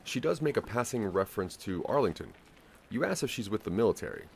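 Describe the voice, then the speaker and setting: A man narrating a scripted audio drama in the second person, with a measured storytelling delivery. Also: fairly low pitch, big pitch swings, creaky, slightly rough.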